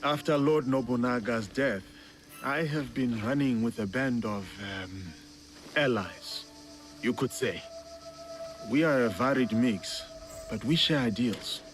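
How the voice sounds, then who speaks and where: An actor playing a stern, honourable samurai warrior in a video game, speaking in a grave, deliberate manner. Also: male voice, mid-range voice, over music, lightly acted. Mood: grave, respectful. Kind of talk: video game cutscene acting.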